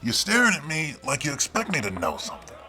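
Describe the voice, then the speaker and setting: A man's voice: a little high, wildly swooping pitch, fast talker, bright, forceful tone, soft background music, full character voice, poor audio. An actor playing a surly, streetwise 1940s tough guy in a noir radio play, curt and needling in his slang. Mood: cagey.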